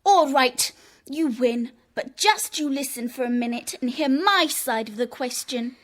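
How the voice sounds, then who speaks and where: A cheeky, defiant little schoolgirl in a children's audio play. Her high voice bounces around as she argues and taunts her way into the club. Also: expressive, unhurried. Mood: insistent. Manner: argumentative, taunting. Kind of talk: children's audio drama acting.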